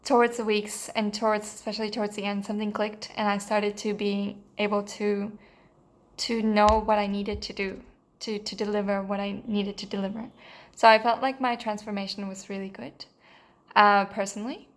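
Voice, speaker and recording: Hesitant Mexican woman, flat even voice. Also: medium pitch, normal speed. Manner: hesitant, many fillers and restarts, earnest. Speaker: course participant giving a testimonial. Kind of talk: unscripted spoken testimonial.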